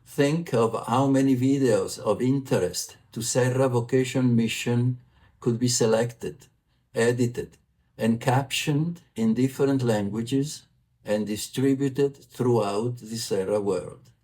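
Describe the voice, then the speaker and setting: A man's voice: medium pitch, fairly even intonation, warm tone, low-quality recording. A man from Italy with an Italian accent, giving a formal prerecorded report as a vice president for communications. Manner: formal, measured delivery.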